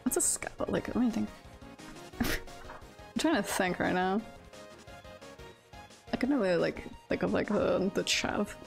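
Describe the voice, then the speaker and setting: A woman's voice: mid-range voice, lively intonation, measured pace, creaky, pauses often, soft background music. A woman streaming a video game, chatting casually and reacting aloud in a playful, giggly manner. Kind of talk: casual livestream chat and game commentary.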